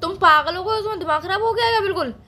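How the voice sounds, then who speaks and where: A woman's voice: extremely high pitch, very fast, bright, forceful tone. A young woman playing an indignant girlfriend in a phone-call drama, scolding in a whiny, accusing way. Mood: upset, indignant. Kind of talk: scripted comic phone-call drama between a couple.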